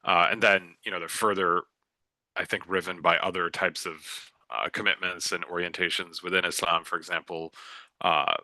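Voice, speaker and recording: A man's voice: low voice, fairly even intonation, moderate pace, sharp tone, no room sound. A middle-aged man speaking on a festival panel, thinking aloud with restarts and frequent "right?" tags. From United States. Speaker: guest author on a festival panel. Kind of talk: unscripted panel talk.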